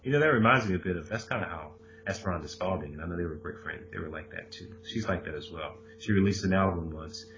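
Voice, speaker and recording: A man's voice: deep voice, quick pace, few pauses. A man co-hosting a podcast, chatting casually and animatedly, often laughing and acting out quotes. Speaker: podcast co-host. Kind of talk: casual conversation. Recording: dull lo-fi recording.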